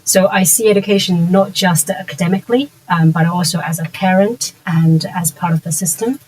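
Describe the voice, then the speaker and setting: A woman whose first language is Mandarin Chinese, talking earnestly as an interview guest, often pausing on fillers and restarting sentences. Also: fairly low pitch, a little mellow, rough recording. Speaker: podcast guest, education founder. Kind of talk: conversational interview.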